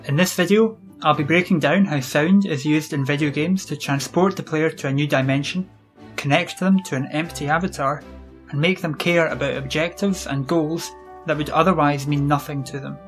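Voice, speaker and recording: A British man hosting an educational video, reading scripted explanations in a measured, instructional way. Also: on the high side, steady tone. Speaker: video series host. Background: music.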